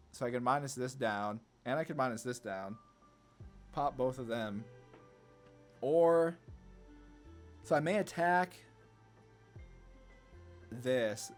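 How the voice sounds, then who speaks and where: A man giving casual card game commentary, thinking aloud and often questioning his own choices as he goes. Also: mid-range voice. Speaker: gameplay video host. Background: background music.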